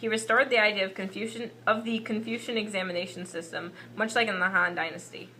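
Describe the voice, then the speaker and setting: A woman's voice: medium pitch, steady tone, quick pace, bright, forceful tone, natural voice. A woman playing a patient puppet history teacher, delivering a scripted lesson to an unruly class in an amateur show. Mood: instructive, exasperated. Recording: faint background noise, amateur recording.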